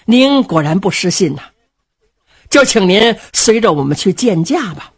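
Woman storyteller doing a low soft-spoken general, steady and measured, husky tone. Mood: calm. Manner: gentle, measured, low-key. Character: calm, steady male general, elder sworn brother.

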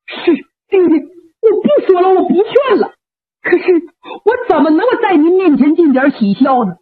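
A woman's voice: expressive, moderate pace. A woman voicing a young male warrior who pleads with his father through tears, heard through a tinny radio recording. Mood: pleading. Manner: weeping, pleading, high-strung.

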